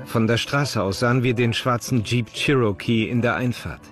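A man's voice: mid-range voice, moderate pace, lightly acted. Man voicing a police investigator, music behind. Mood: matter-of-fact recounting. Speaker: documentary voice-over for an interviewee. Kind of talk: scripted dubbed testimony. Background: background music.

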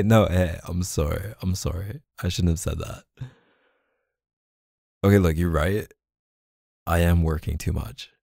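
A deep, mellow boyfriend acted by a man in an intimate roleplay audio, soothing a neglected partner. He speaks slowly and casually, hesitating and coaxing in an apologetic way. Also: vocal fry, no room sound, studio quality, exaggerated voice. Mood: exasperated, apologetic. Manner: casual, hesitant, sighing, coaxing with pet names. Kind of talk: boyfriend ASMR roleplay, casual intimate one-sided dialogue.

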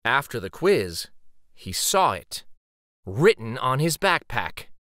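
A man reading a children's story aloud dramatically, with theatrical, suspenseful pauses. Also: medium pitch, big pitch swings, a bit bright, no room sound, studio quality.

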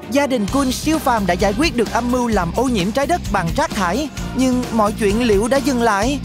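A woman voicing an energetic, alert young boy hero in an animated series. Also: fairly high pitch, a little fast, close to an everyday voice. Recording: music under the voice.

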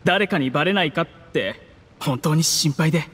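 A man's voice: a little high, normal speed, a bit bright, slightly rough, big-room sound. A man voicing a timid, servile conspirator in an anime game, meek and anxiously deferential. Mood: meek, nervous. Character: timid, servile male conspirator, nervously polite.

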